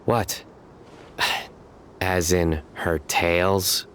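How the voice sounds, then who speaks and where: Calm game companion, low voice, very slow pace, pensive, measured. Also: male voice, slight background noise, full character voice. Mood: puzzled. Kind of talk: anime game voice acting.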